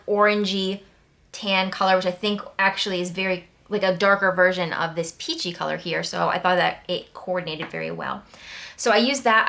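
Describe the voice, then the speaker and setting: A woman's voice: medium pitch, pushed and bright. An American woman from Connecticut talking casually through a planner walkthrough, wandering into chatty asides. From Connecticut, New England, United States. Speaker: planner channel host.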